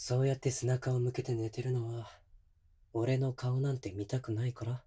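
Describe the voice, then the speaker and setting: A man's voice: mid-range voice, flat delivery, moderate pace, warm tone, lightly acted. A male actor playing a defensive, hot-tempered young boyfriend in a voice drama, speaking bluntly and casually to the listener up close. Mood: subdued, gentle. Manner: blunt, casual masculine speech. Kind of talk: situation voice drama addressed to the listener. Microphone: close binaural microphone.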